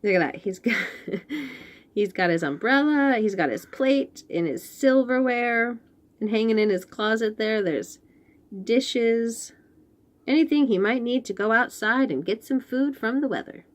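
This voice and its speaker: A woman reading a picture book aloud to young children in an expressive way, with chatty asides and questions about the pictures. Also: on the high side. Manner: expressive, pauses to point out pictures and ask questions. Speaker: children's read-aloud host.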